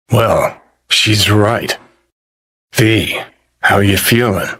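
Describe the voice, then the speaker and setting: An actor playing a cynical, foul-mouthed rocker rebel in a video game, with a sardonic, gruff, unhurried delivery. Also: male voice, fairly low pitch, fairly bright tone. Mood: dry, reflective. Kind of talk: video game character dialogue.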